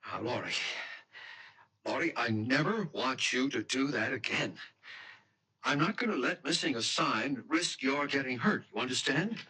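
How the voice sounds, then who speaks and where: An actor playing a protective, teasing young fiancé with a wry, emphatic delivery in a 1970s style radio drama. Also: male voice, medium pitch, animated, normal speed, pushed and bright, slight background noise. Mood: alarmed, stern. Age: young adult.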